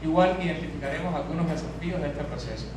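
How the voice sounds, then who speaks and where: A Cuban man with a strained, tired voice gives an academic talk, his pitch sitting a little high. He speaks in a measured way and often stops to restart a phrase. He is picked up from a distance in a very echoey conference hall with clear background noise. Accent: Cuban Spanish. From Cuba. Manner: measured, with frequent hesitations and restarts. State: voice strained from hours of teaching.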